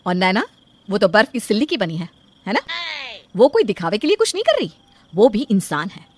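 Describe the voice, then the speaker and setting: A woman's voice: mid-range voice, big pitch swings, brisk, slight background noise, close to an everyday voice. A woman playing an outspoken, modern middle-aged mother in a cartoon story, teasing and assertive. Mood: indignant scolding. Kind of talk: animated cartoon story acting.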